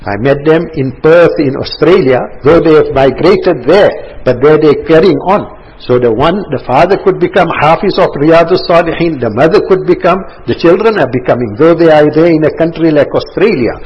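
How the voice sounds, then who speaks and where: An older man in his sixties gives a rambling religious talk to a gathering in a carpeted room. His voice is a bit high and husky, and he circles back on his points with story after story. The recording is dull and lo-fi. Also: normal speed. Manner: rambling, repetitive, anecdotal. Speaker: religious teacher.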